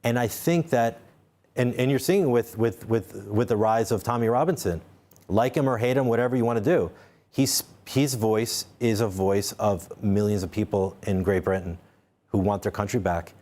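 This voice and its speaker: Interview guest, male, lower voice, stumbling repeats, emphatic points. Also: moderate pace, warm tone. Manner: repeats words while searching for them, then speaks emphatically. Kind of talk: unscripted interview talk. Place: studio.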